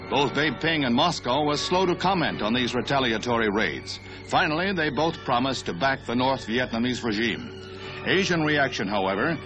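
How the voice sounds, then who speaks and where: A man's voice: mid-range voice, low-quality recording. American newsreel announcer man over music. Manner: formal, declamatory announcer delivery. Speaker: newsreel narrator. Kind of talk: 1960s newsreel scripted narration.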